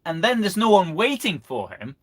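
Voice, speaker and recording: A man presenting history in an unscripted live lecture, halting and often restarting his phrases. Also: high voice, sing-song, fairly bright tone. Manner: halting, repeats and restarts phrases mid-sentence. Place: live online broadcast.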